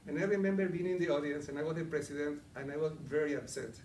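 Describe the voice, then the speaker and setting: Middle-aged argentinian guy presenting to a room, chatty, bit high voice, echoey with faint background hum. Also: fast talker, a little mellow, recorded from a distance. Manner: conversational, asks the audience questions. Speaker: coaching presenter. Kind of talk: live interactive presentation. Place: meeting room with a live audience.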